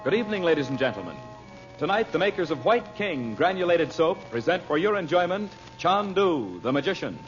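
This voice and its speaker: Old timey radio announcer guy, very animated pitch, emphatic n polished, muffled sound w loud music. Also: a little fast. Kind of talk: 1940s-style radio announcing, scripted narration. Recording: muffled vintage radio broadcast recording.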